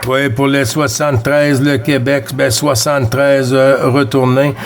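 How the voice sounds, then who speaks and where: A man's voice: mid-range voice, little pitch movement, measured pace, noisy background. A man with a Quebec French accent chatting casually over two-way radio as a CB operator, friendly and repeating himself. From Quebec, Canada. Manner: friendly, repeats himself, tags phrases with "hein".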